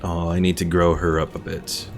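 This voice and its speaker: A man's voice: low-pitched, completely flat delivery, moderate pace, creaky, over music. A man giving casual, easygoing live game commentary, thinking aloud and reading out chat as a streamer.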